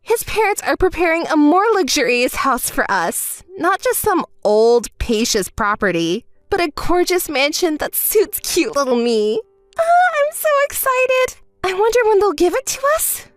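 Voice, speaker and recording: Shrill, spoiled young bride. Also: female voice, big pitch swings, measured pace, few pauses, dry recording. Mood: smug, excited. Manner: whiny, shrill, demanding. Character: spoiled, hysterical, entitled young bride with cutesy vanity. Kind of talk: melodramatic dubbed story dialogue.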